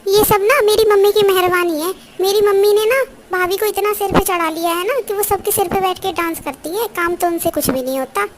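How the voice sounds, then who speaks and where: A woman's voice: very high-pitched, brisk, hardly any pauses, slight background noise. A woman voicing a bossy, spiteful young married sister-in-law, haughty and fault-finding, in a cartoon family drama. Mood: scornful. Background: music.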